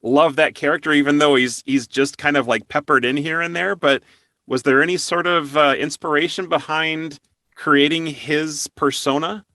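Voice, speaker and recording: A man's voice: fairly high pitch, steady tone, normal speed, bright, forceful tone, no room sound, studio quality. A man hosting a casual interview, chatting in an effusive, enthusiastic way. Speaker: interview host.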